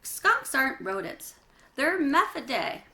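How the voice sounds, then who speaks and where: An earnest young storybook boy, played by a woman reading aloud. The voice is lifted and bright, with a literal-minded, matter-of-fact way of explaining and correcting. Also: high-pitched, very animated, slow pace, gravelly, voice pushed up, full character voice.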